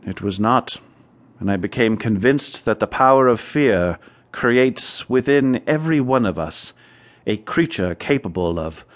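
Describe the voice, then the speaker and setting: An actor playing an obsessed, erudite scientist who studies fear, in a radio drama, with a theatrical delivery. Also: male voice, mid-range voice, lively intonation, a little slow, close to an everyday voice. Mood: measured, assured. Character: obsessed, distraught male scientist studying fear.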